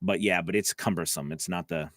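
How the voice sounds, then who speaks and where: A man's voice: deep voice, steady tone, fairly quick, fairly bright tone, no room sound, studio quality. Chatty man coaching voice actors. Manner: casual, chatty, answers viewer comments. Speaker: voice-over coach. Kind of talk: live stream Q&A teaching.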